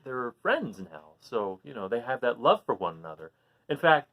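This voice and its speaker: A man hosting a children's read-aloud, expressive as he reads and chats, explaining things simply to young listeners. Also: medium pitch. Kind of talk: animated storybook reading and casual chat to children.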